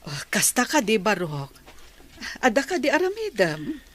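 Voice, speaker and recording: Babaeng gumaganap na maalalahaning matandang ina. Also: female voice, a little high, huge pitch swings, normal speed, a bit bright, exaggerated voice. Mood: motherly. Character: older motherly woman, caring and stubborn. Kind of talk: radio drama acting.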